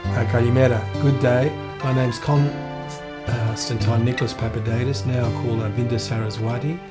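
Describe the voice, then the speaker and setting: A man introducing himself and his keepsakes in a careful, halting way. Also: mid-range voice, steady tone. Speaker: interviewee. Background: loud music.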